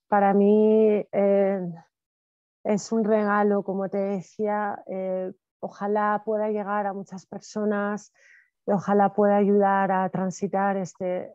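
A woman in her thirties, a guest speaker talking reflectively on a video call from home, with soft fillers and restarts. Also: medium pitch, dry recording. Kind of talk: conversational talk. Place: home room with wood ceiling. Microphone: video call with earphones. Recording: slightly dull video call audio.

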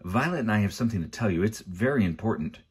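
A man voicing an anxious, bright young boy in a storybook, speaking in an earnest, urgent way. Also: deep voice, moderate pace, slightly rough. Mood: worried.

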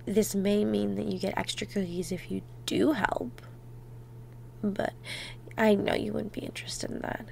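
A woman playing an affectionate, playful girlfriend in an ASMR roleplay, talking softly and intimately, sometimes close to a whisper. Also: medium pitch, fairly even intonation, creaky, slight background noise. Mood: gently teasing. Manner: soft, affectionate, unhurried, dropping into near-whispers.

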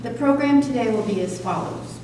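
An older woman at a podium in a community hall, reading a prepared introduction in a measured, careful way. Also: a little high, dark tone. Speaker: event organizer introducing a speaker. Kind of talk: prepared introduction read from notes. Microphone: stand microphone at podium, picked up distantly. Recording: echoey hall from far off, faint noise.

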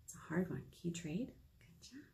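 An American woman in her thirties leading a children's storytime, reading aloud and chatting to young listeners in an encouraging, expressive manner. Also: lowish voice, normal speed, vocal fry. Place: small indoor meeting room.

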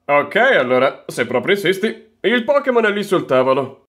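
Man acting cartoon lab professor, high-pitched, lively intonation, hesitant, music behind. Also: moderate pace, slight background noise. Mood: apologetic, hesitant. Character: young male lab professor, hesitant Pokémon scientist. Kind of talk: parody cartoon dub.

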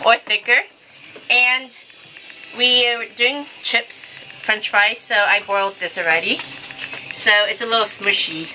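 Bright, high-voiced cheerful woman. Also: steady tone, measured pace. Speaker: vlog host. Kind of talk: casual cooking vlog chat. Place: small sailboat galley indoors. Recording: noisy on-camera boat galley audio.

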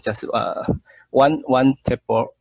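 A man in his thirties with a Taiwanese accent, haltingly searching for words as he reports on a video call. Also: mid-range voice, fairly even intonation, highs cut off. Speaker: sketching group member. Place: room at home. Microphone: earphone mic on a video call. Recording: noisy video call with clicks.

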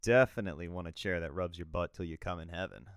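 A man's voice: deep voice, quick pace, clean tone, dry recording. A man playing a jaded writer in their thirties, dry and self-deprecating, in an amateur comic dub. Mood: wry, weary.

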